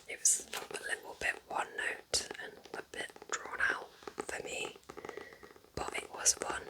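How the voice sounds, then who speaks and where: Woman whispering softly in chatty ASMR style. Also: poor audio. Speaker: ASMR host reviewing books.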